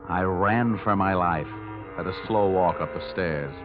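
An actor playing a world-weary private investigator with an American accent, dry and wry, in 1940s radio style. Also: male voice, sits low, unhurried, over music. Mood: wry narrating. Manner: dry, wry, hard-boiled. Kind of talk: 1940s radio detective narration and acting. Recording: muffled old radio drama recording.

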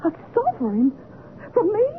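A woman's voice: extremely high pitch, huge pitch swings, moderate pace, full character voice. A woman acting in an old radio drama as a poor young former servant girl, streetwise yet hesitant and deferential. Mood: amazed, deferential. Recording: tinny, noisy old radio recording.

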